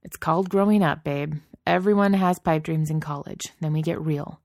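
A woman's voice: sits low, moderate pace, a little mellow, no room sound, clean studio sound. A woman voicing a dismissive, condescending young man who talks down to his partner in a placating tone.

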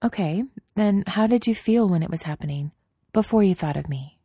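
A woman reading a story aloud, voicing a calm, loving young woman, a little higher than her narration. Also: moderate pace, slightly rough, dry recording, studio quality. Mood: gently reassuring.

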